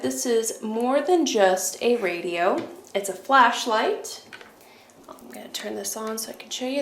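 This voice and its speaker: A woman with a fairly high voice gives a casual, hands-on product walkthrough. She explains each step plainly as a review presenter. There is noticeable background noise in the recording.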